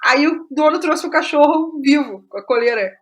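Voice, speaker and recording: A woman with a high voice and a Brazilian accent speaks as a guest in a conversational interview. She is reflective and informal, circling back on her own phrases as she explains how she works. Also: animated, moderate pace. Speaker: playwright guest. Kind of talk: conversational interview about creative process.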